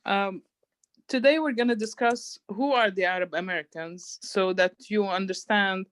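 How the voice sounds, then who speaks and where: Woman from Syria, halting presenter; dry recording. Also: mid-range voice, dry recording. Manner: hesitant, many fillers. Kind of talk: conference presentation.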